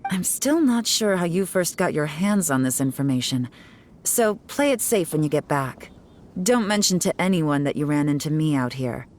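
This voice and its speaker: A woman's voice: sits low, a little mellow, a little hiss or hum. A woman voicing a stern, self-assured female investigator in a video game, commanding, composed and wry. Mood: composed, friendly. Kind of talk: dramatic video game voice acting.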